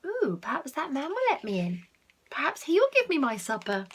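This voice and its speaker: A woman's voice: sits high, huge pitch swings, moderate pace, voice pushed up. A woman voicing a forlorn, hopeful pet cat in a children's storybook, in a plaintive way.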